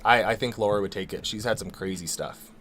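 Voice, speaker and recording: Hoarse man rambling through a livestream. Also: fairly low pitch, brisk, fairly bright tone, slight background noise. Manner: rambling, many ums and restarts. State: losing his voice, a little sick. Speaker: co-host of a livestream Q&A. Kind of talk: casual livestream chat.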